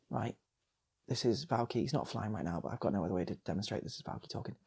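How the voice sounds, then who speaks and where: A man's voice: lowish voice, lively intonation, fast talker, creaky, darkish tone, slightly rough. A man telling an improvised comic story in a loose, rambling way, repeating phrases as he goes. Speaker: storyteller and channel host.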